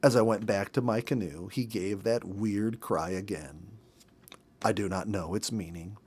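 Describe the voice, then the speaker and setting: A man in his fifties reading a story aloud in an expressive, measured narrator's manner with dramatic emphasis. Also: on the low side, slightly rough. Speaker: storybook reader. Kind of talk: expressive read-aloud narration of a nature memoir.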